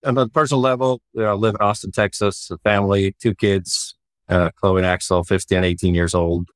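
A man in his forties with a very low voice, talking through his career at an easy, thoughtful pace. The sound is dry and close, with no room echo. He leans on "you know" as he thinks. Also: brisk. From Sweden. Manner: relaxed and reflective, with frequent "you know" fillers and light laughs. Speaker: startup founder and CEO. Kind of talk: casual interview answers.

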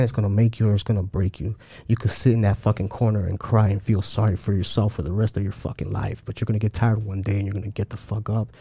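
A man's voice: on the low side, dark tone, slightly rough. A man from Chicago, a guest talking casually in a streetwise way, swearing heavily and using lots of slang. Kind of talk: casual interview talk.

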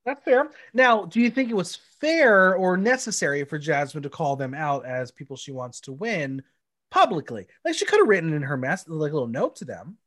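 A congested man hosting a podcast, chatty and animated, swearing casually. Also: on the high side, normal speed. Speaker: podcast host.

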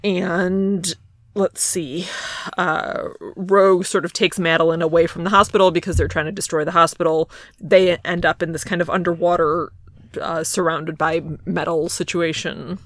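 A woman's voice: lowish voice, flat delivery, normal speed, no room sound. A woman co-hosting a podcast, casually recapping a comic book in a wry, playful, loosely improvised way. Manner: wry, playful, often laughing. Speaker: podcast co-host.

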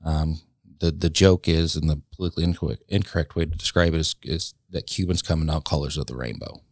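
A man in his late thirties talks in an extremely low, gravelly voice. He is a guest in a casual conversation, thinking aloud with frequent ums and self-corrections. The room sounds dry and close. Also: fairly even intonation, no echo. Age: late 30s. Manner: reflective, halting, many ums and restarts.

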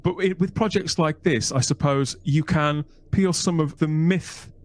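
A man's voice: on the high side, unhurried. A man hosting a podcast interview, asking leading questions that end in tags like "wasn't he?". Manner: animated, leading questions with tag questions. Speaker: podcast host. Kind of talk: conversational interview.

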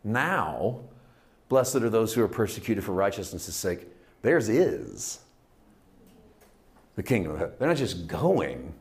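A man preaching a sermon, building with repeated phrases and dropping to hushed, intimate passages. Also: lowish voice, very animated, a little slow, pauses often. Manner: emphatic, repetitive preaching cadence, swings from loud to hushed. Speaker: pastor.

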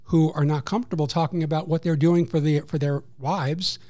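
A man with a New York accent, speaking as a guest in a measured and informative way with a slightly raised pitch. He lays out services step by step and restarts a phrase now and then. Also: fairly even intonation, warm tone. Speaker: program coordinator. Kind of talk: guest interview, explaining services.

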